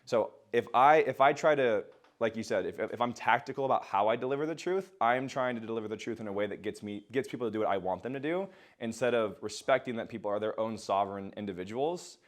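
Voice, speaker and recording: A man giving a blunt, motivational talk to a small group and answering questions, swearing freely. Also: lowish voice. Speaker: speaker and coach. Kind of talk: motivational speech and Q&A.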